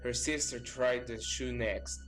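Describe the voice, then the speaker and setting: A man's voice: mid-range voice, steady tone, measured pace, a bit bright, rough recording. A man reading scripted, documentary-style narration as a storyteller. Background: background music.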